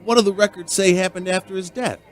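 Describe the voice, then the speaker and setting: A man's voice: sits high, steady tone, quick pace, lightly acted. An actor playing an earnest, sentimental male bartender who tells a strange tale in a dramatic radio play style. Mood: intent. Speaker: bartender and storyteller. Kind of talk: radio drama acting and narration.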